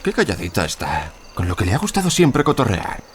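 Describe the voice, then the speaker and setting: A man's voice: fairly low pitch, huge pitch swings, fairly quick, slight background noise. An actor playing a disturbed, childlike, meek man obsessed with his mother, in an audio drama. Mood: eerily tender. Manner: talks to himself, pleading with his mother.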